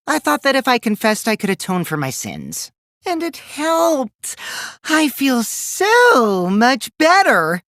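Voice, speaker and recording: A man's voice: very high-pitched, wildly swooping pitch, takes it slowly, bright, forceful tone, no room sound, clean studio sound, full character voice. An actor playing a mischievous, taunting teenage boy in a video game, theatrical and teasing, with a youthful boyish voice that can turn from cutesy to cold. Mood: mock confession. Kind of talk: animated game dialogue in a murder-mystery trial.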